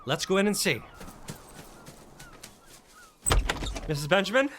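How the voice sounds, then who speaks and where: An actor playing a troubled, grieving middle-aged man, reflective and halting, narrating in an audio drama. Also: sits high, big pitch swings, fairly bright tone, a little hiss or hum. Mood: uneasy. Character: troubled, wistful grieving man returning to childhood haunt. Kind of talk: audio drama acting with first-person narration.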